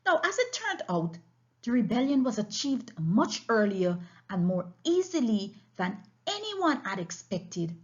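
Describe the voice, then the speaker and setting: A woman narrating a storybook aloud as an audiobook reader, with an expressive read-aloud delivery. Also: on the high side, unhurried, dull audio.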